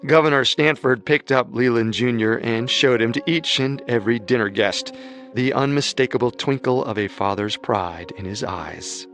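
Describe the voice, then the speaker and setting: A man narrates a historical mystery in a calm, deliberate voice at a mid pitch. Faint music plays underneath. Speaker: narrator and co-host. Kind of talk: scripted documentary narration.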